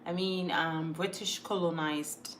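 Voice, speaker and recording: A woman's voice: on the low side, steady tone, takes it slowly, faint music behind. A woman from Ghana with a mixed Ghanaian accent, chatting casually as a livestream host and reading out viewer comments, emphatic and repeating her words as she thinks aloud. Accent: Ghanaian, mixed from moving around.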